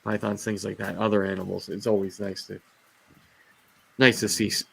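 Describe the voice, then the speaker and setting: A man's voice: fairly low pitch, level tone, moderate pace, creaky, fairly bright tone, slightly rough, poor audio. A man hosting a casual livestream chat about reptiles, rambling with lots of fillers and restarts as he loses his voice. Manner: rambling, rant-prone, many fillers and restarts. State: losing his voice. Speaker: host of a reptile live show.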